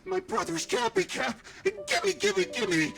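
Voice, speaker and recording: A woman's voice: extremely high pitch, slow pace, creaky, exaggerated voice. A woman voicing an anxious, dim, childish youngster in a video game, whiny and stammering. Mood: distressed, pleading.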